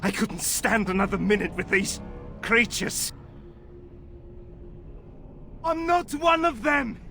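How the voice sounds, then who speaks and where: A man's voice: sits high, expressive, a little slow, gravelly, bright, forceful tone, soft background music, exaggerated voice. A man playing a frightened, pleading captive treasure thief in a video game, anxious and desperate.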